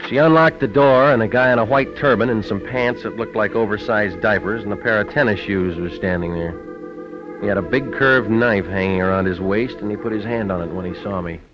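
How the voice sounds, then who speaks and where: Man playing a low, hard-boiled private eye. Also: brisk, close to an everyday voice. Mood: wry narrating. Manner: deadpan, clipped, sardonic. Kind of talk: 1940s radio noir acting and narration. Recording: dull old radio recording with music.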